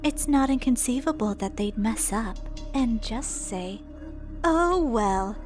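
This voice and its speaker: A woman playing the ghost of a dead girl in a game dub, cryptic and coldly taunting, speaking in a measured, ominous, breathy whisper. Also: fairly high pitch, lively intonation, darkish tone. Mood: insinuating. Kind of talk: dramatic video game dub acting. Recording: background music under the voice.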